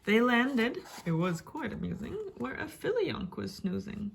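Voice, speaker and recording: A woman storyteller giving a dramatic reading of a rhyming picture book, expressive, with hushed and emphatic passages. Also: fairly high pitch, a little slow, creaky. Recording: faint background noise.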